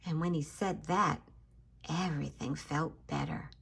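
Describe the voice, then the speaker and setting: A woman's voice: low-pitched, steady tone, unhurried, vocal fry, a bit bright, slightly rough. A woman hosting a children's story time, reading a picture book expressively, addressing young listeners directly and stressing key words for drama.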